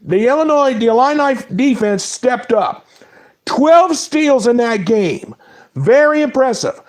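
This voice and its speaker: A man with a fairly high voice and a cold runs through game scores and player stats in a loose, casual monologue. He reels off the numbers in quick lists. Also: sing-song. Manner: reads stats in quick lists, apologizes for mispronouncing names. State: has a cold. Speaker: sports talk host. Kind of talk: casual sports recap monologue.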